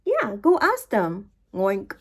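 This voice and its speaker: A woman voicing a calm, helpful little pig from a children's storybook, answering matter-of-factly in short lines. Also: medium pitch, takes it slowly, full character voice.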